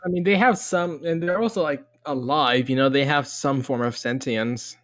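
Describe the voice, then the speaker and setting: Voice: medium pitch, normal speed. A podcast panelist talking casually in a group discussion. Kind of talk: casual chat.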